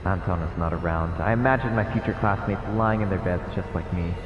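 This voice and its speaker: A man's voice: deep voice, brisk. A man streaming a horror visual novel, reading it aloud as dramatic, ominous narration. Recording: echoey reverberant muffled sound with music. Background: game music.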